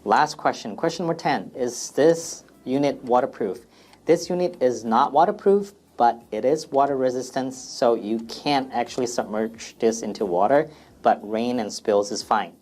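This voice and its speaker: A man's voice: mid-range voice, lively intonation, normal speed, darkish tone. A man presenting an informal product FAQ demonstration in a plain, step-by-step instructional way.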